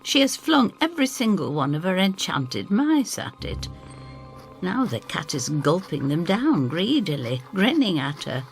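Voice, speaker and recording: A woman giving expressive, theatrical first-person narration of a scripted story. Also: on the low side, unhurried, faint music behind, rough recording. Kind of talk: scripted dramatic narration in character.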